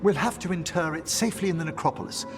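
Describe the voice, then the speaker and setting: A man's voice: fairly high pitch, fast talker, soft background music, close to an everyday voice. A man playing a scholarly older male necromancer in a video game, with refined, theatrical and precise diction. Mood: solemn, commanding.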